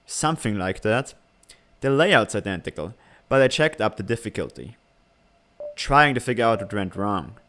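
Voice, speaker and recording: Guy voicing calm brainy young academy dude, lowish voice, measured n matter of fact, comic dub. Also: a little hiss or hum. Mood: calm, pensive. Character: calm, analytical young man at military academy. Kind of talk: animated comic fan dub.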